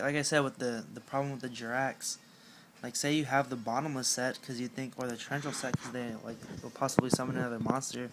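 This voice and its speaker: A young man with a raspy voice, chatting casually at home about a card game deck, rambling with lots of fillers and self-mocking jokes. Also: medium pitch, background noise. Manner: rambling, filler-heavy, self-mocking with frequent clearing of the throat. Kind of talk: casual talk to camera.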